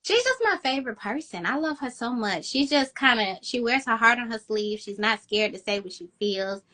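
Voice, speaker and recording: A woman romance author in an interview, chatty and enthusiastic, with a high-pitched voice. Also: animated, moderate pace, sharp tone. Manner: chatty, enthusiastic, quotes herself with "girl". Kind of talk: casual interview chat.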